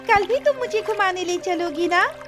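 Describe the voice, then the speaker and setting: Very high-pitched stubborn little princess voiced by a woman. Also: expressive, moderate pace, music in the background. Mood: eager, insistent. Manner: childlike, insistent, complaining. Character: stubborn, hurt young runaway princess, a girl.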